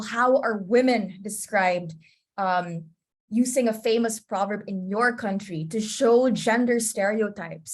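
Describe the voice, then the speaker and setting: A woman presenting an online teaching webinar over a video call, chatty and often checking in with her listeners. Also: a little high. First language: English. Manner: chatty, often checks in with "you know?" and "okay?".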